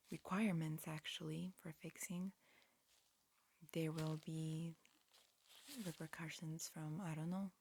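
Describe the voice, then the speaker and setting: A woman performing a whispered ASMR roleplay, mixing breathy whispers with soft speech in a halting, matter-of-fact, instructional manner. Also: sits low, a little hiss or hum. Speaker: ASMR performer playing an alien examiner.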